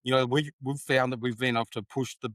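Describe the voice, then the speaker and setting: A man's voice: medium pitch, steady tone, fast talker, a bit bright, no echo. A man in his fifties from Australia with a broad Australian accent, talking as a guest in an upbeat, plainspoken way with local slang. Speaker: guest, sponsorship sales business owner. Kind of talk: casual interview talk.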